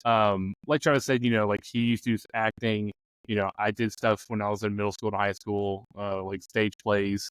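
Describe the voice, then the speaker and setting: A man's voice: on the low side, level tone, moderate pace, clear, resonant tone, dry recording, low-quality recording. A man on a talk show, giving game master advice in a casual, conversational way.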